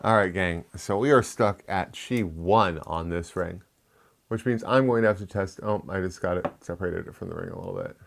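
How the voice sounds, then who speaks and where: A man's voice: deep voice, normal speed, gravelly. A man with a lisp hosting a casual product review, chatty and rambling with frequent asides. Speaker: tech reviewer, channel host.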